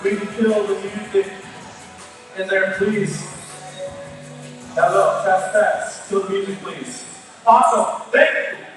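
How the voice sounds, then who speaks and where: A man's voice: high voice, fairly even intonation, slow pace, long pauses. A man working as a youth pastor, hosting games from a stage in an informal, commanding way, his voice a little strained. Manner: informal, commanding, shouts directions to the crowd. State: voice straining, starting to give out. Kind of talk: live stage hosting, hyping games for students. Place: large indoor church room with stage and PA. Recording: very echoey hall, distant mic, faint music.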